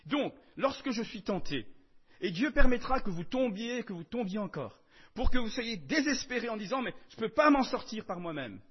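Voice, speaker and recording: A man preaching a sermon in French, emphatic, swinging between loud declarations and soft asides. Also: sits high, very animated, moderate pace, husky, dull audio.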